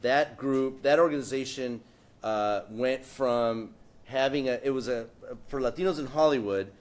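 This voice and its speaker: A man on a discussion panel, spinning lively stories about his start and career in a mid-pitched, everyday voice. He often backs up and restarts mid-sentence, tossing in asides as he goes. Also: measured pace. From San Diego, United States. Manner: animated storytelling with frequent restarts and asides. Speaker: panelist, artist. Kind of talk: panel conversation, anecdotes.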